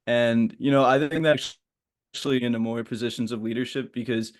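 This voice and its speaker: A young man raised in Florida, a guest on an interview podcast, answering in an earnest, measured way. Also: mid-range voice, steady tone. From Florida, USA. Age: young adult. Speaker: guest, youth volunteer.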